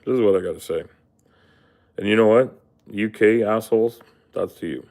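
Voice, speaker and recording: A man's voice: fairly low pitch, moderate pace, darkish tone. A man hosting a live stream, ranting casually, rambling and swearing often. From Canada. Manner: rambling, profane, full of fillers. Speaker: livestream host. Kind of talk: casual livestream rant.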